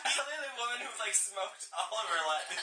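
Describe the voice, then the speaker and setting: A woman's voice: on the high side, expressive, fast. A woman bantering casually with friends in a wry, joking manner. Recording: roomy, distant, noisy room.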